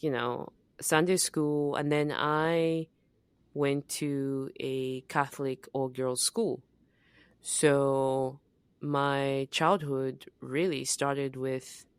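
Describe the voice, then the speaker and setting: Very low-voiced, slow-speaking woman. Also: smooth, dry recording. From Zambia. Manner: relaxed, reflective, many fillers and laughs. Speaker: podcast co-host. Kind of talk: casual conversational podcast.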